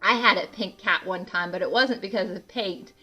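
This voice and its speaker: A woman reading a picture book aloud to children in an animated way, adding asides and questions to the listeners. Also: mid-range voice, normal speed, husky, faint music behind. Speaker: read-aloud host for children.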